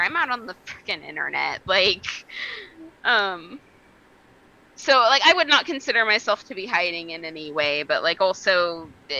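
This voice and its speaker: A woman's voice: fairly low pitch, pushed and bright, highs cut off. A woman co-hosting a podcast in casual chat over a video call, leaning on "like" as she talks. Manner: chatty, heavy use of "like". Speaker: podcast co-host.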